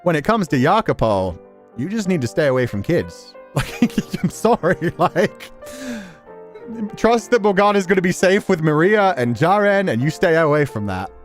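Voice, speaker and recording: A man's voice: fairly high pitch, very animated, moderate pace, faint music behind. A man talking casually and emphatically as a streamer, rambling through commentary with slangy asides. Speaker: streamer reacting to a story game. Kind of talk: casual rambling commentary, reading and answering chat.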